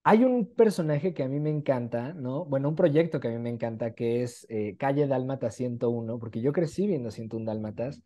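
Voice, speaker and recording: A man's voice: mid-range voice, animated, a little fast, few pauses, warm tone. A man in his twenties with a Mexican accent, interviewing casually over a video call in an encouraging, conversational way. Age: 20s. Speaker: interviewer, host. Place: small room at home, indoors. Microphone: video call with wired earphones.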